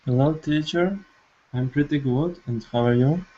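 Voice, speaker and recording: A man's voice: on the high side, moderate pace, dark tone. A man from Ukraine, a non-native English learner, giving casual answers in class. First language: not English. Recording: muffled, lo-fi online call.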